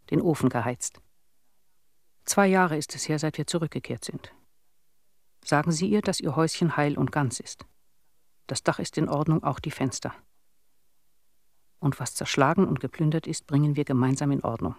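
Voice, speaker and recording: Higher-voiced, imploring villager played by a man. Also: dry recording, studio quality, close to an everyday voice. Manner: imploring, simple halting phrases. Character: earnest villager pleading for a lost neighbour. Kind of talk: radio play monologue.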